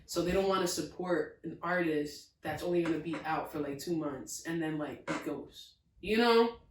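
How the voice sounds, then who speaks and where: A woman's voice: deep voice, normal speed, distant mic, a little hiss or hum. A young woman hosting a podcast interview, talking casually and laughing as she acts out her relatives' voices. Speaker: podcast host. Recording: roomy, from off mic.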